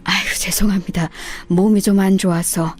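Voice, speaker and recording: A woman voicing a polite, troubled older man, a grandfather, in a halting, subdued way for a story reading. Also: mid-range voice, steady tone, soft background music, lightly acted. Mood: subdued, worried. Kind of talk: dramatised radio story reading.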